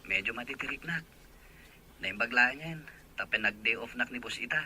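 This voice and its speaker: A man's voice: medium pitch, expressive, normal speed, sharp tone. Polite young boyfriend, acted by a man, noisy recording. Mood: hesitant, apologetic. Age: young adult. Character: devoted young boyfriend planning a surprise anniversary proposal. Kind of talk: Ilocano audio drama dialogue. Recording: noticeable background noise.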